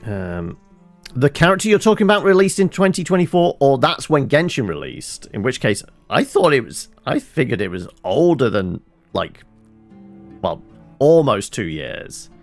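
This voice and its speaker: A man's voice: mid-range voice, sing-song, moderate pace, soft background music. A British man giving casual, chatty live commentary as he plays a game, with wry asides. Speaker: game streamer.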